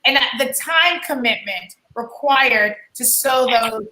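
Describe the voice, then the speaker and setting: High bright passionate New woman. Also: lively intonation, slow, slight background noise. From New Orleans, USA. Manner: passionate, heartfelt. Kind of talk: casual discussion. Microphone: video call. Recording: echoey room.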